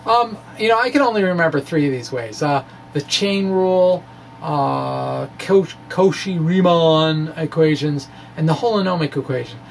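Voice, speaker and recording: A man giving an informal, animated explainer of his own material, with wry asides and a gravelly edge to his voice. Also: a little high, moderate pace, a little hiss or hum. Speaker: physics blogger presenting his own puzzles. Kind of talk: informal explainer, reading and discussing a blog.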